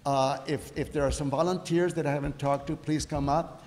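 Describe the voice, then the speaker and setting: A man hosting a conference session, switching between Spanish and English and putting brisk, direct questions to students. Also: fairly high pitch, fairly even intonation, darkish tone. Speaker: event host and interviewer. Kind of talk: bilingual event announcements and interviewing. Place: conference hall.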